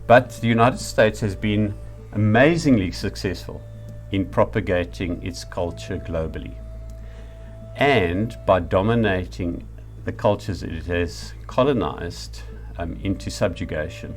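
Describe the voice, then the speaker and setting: Deep-voiced South African man lecturing. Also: measured pace, faint music behind. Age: middle-aged or older. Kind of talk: reflective spoken lecture.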